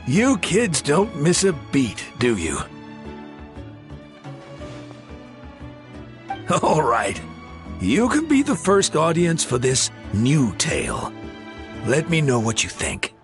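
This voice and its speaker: A genial male storyteller from a video game, spins a tale with theatrical flair. His delivery is slow and measured, with big swings in pitch and deliberate pauses, over faint music. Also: wildly swooping pitch, heavily acted. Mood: genial, playful.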